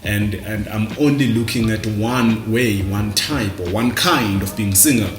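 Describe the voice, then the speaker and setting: A South African man talking casually and reflectively to camera, halting and repeating words as he goes. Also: on the low side, reverberant, faint music behind. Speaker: vlogger. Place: indoors, dim room at night.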